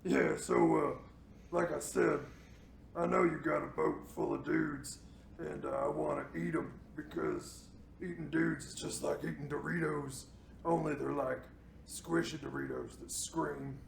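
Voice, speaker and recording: Man doing a very gravelly, slacker cyclops monster. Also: fairly high pitch, fairly even intonation, voice pushed up. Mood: lazily menacing. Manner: slow slacker drawl with mumbled pauses. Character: lazy, casually cruel man-eating giant cyclops monster. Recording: roomy echo, faint background noise.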